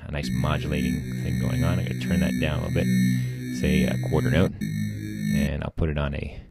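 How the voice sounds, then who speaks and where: A man hosting a casual software tutorial, talking informally and thinking aloud as he demonstrates. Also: fairly quick, creaky. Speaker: tutorial host. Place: screen-recording voice-over. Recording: loud electronic bass music behind. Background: dubstep bass and drum loops.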